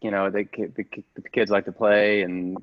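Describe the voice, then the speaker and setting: A man's voice: on the low side, flat delivery, close to an everyday voice. A man playing an awkward, rambling hospital worker in improvised comedy, with slightly muffled sound. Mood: flustered. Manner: halting, rambling. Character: awkward, flustered burn-unit worker greeting a volunteer. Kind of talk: improvised radio-play parody.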